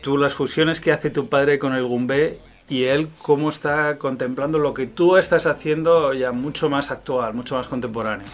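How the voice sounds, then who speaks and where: A man's voice: mid-range voice, moderate pace, few pauses. Conversational male interviewer from radio.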